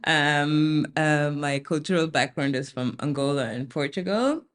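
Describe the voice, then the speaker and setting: A woman's voice: low voice, unhurried. A woman from Austria, a podcast guest, talking in a thoughtful way with many ums as she searches for words. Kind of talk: casual interview conversation.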